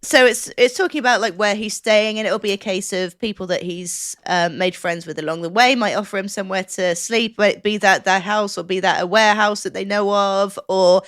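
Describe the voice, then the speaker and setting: A woman's voice: medium pitch, moderate pace, few pauses, a bit bright, dry recording, studio quality. A woman chatting casually as a podcast co-host, explaining things in an enthusiastic, rambling way.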